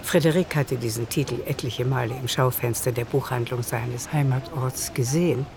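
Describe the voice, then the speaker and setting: A woman narrating a literary radio play in the third person, with measured composure. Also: rumbling low, creaky, background noise. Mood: composed.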